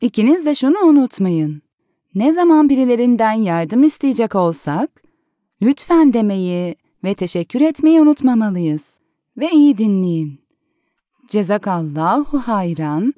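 Cartoon mom, calm and patient, mellow and a little high, teaching gently. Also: female voice, unhurried, no room sound, studio quality. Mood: kindly instructing. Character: gentle, patient mother of young children. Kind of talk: animated children's cartoon dub acting.

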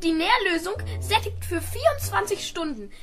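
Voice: very high-pitched, expressive, moderate pace, a bit bright, soft background music, exaggerated voice. A performer playing a polite, earnest seven-year-old boy in a children's radio play, careful and formal in manner. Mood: earnest, worried.

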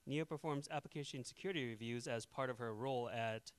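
Brisk man introducing a speaker. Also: mid-range voice, smooth. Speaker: session host.

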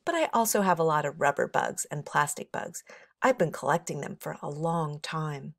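A woman voicing a bright, earnest schoolgirl in a children's storybook, explaining things carefully. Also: deep voice, expressive, moderate pace, gravelly, no echo, studio quality, heavily acted. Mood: proud.

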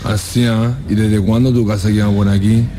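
Male actor for menacing thug in radio drama: slightly low voice, taunting threats, music behind. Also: flat delivery, normal speed, warm tone, natural voice. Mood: mocking menace. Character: menacing male street thug collecting a debt.

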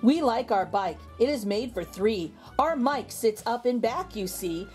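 A woman reading a picture book aloud to young children, with expressive, exaggerated intonation and drawn-out words. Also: a little high. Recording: background music under the voice. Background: music.

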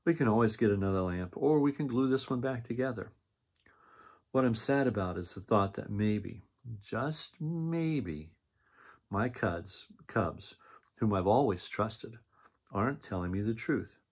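A man reading as a gentle, sorrowful mother bear. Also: fairly low pitch, expressive, unhurried, slightly rough. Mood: sad, gentle.

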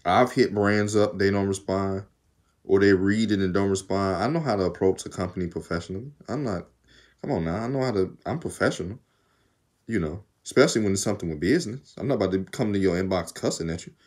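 Deep-voiced Southern man chatting casually. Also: fast talker, a little mellow. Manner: rambling, talkative, laughs often. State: arms tired while undoing braids. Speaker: vlogger. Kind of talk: casual chat to camera. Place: small room indoors. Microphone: phone camera at arm's length.

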